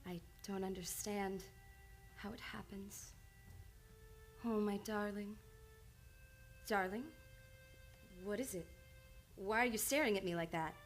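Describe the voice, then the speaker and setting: A woman's voice: mid-range voice, takes it slowly, pauses often, soft background music, natural voice. An actress playing an earnest, reserved woman psychiatrist in an old-time radio drama, speaking formally and precisely. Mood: tender, bewildered. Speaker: radio play actor. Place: radio studio.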